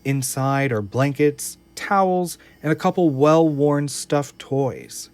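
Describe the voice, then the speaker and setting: A man's voice: fairly high pitch, slow, smooth. A man reading scripted screenplay narration for an audio drama. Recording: music under the voice.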